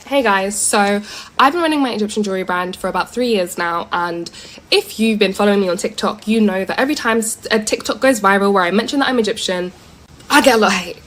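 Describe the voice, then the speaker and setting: British woman, casual chatty social media talk, mid pitch. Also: fast, a bit bright, rough recording. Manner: casual, chatty, laughs nervously.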